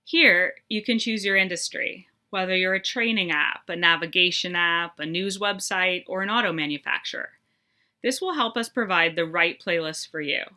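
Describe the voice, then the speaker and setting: Bright-voiced woman narrating a tutorial. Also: lowish voice, moderate pace. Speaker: tutorial presenter.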